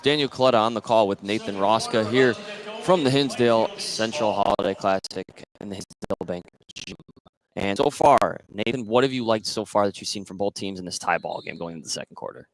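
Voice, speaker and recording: A man's voice: fairly low pitch, quick pace, rough recording. An American man doing live play-by-play basketball commentary on the radio. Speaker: play-by-play announcer. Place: indoor high school gymnasium. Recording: faint gym noise behind. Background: faint gym ambience.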